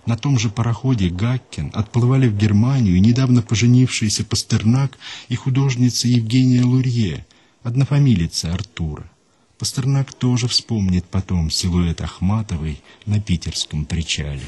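A man hosting a radio program, reading a scripted story in a measured, unhurried way and dropping lower and quieter for quotations. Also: slightly rough. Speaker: radio host and narrator.

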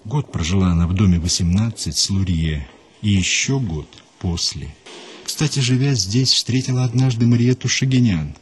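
A man hosting a radio program, reading a scripted story in a measured, unhurried way and dropping lower and quieter for quotations. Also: animated, a little hiss or hum. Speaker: radio host and narrator.

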